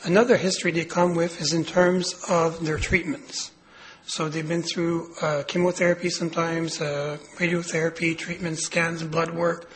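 A man with a raspy voice, hoarse from a cold, giving a clinical lecture with many pauses and fillers. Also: a little high, level tone, a bit bright, low-quality recording. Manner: hesitant, many fillers and restarts. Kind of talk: medical lecture.

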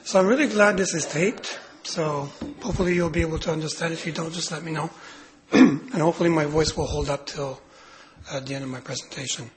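A man with a raspy voice, hoarse from a cold, giving a clinical lecture with many pauses and fillers. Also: a little high, a crowd in the background, low-quality recording. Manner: hesitant, many fillers and restarts. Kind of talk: medical lecture.

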